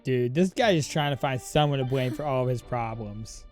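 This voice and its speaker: A woman's voice: a bass voice, moderate pace, a little mellow, faint music behind. A woman streaming a video game, giving casual, slangy live reactions in a drawling, muttered way.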